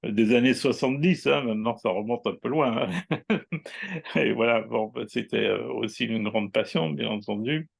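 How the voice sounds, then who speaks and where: A man in his sixties, a writer and astrologer, chatting as an interview guest in an unhurried, hesitant way with many fillers. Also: mid-range voice, vocal fry, slightly rough. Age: 60s. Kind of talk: casual interview chat.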